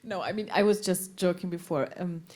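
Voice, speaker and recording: A woman's voice: fairly low pitch, a little fast, darkish tone. Hesitant woman actress with lots of restarts. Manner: hesitant, laughing, many restarts. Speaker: actress at a festival panel. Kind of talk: panel talk.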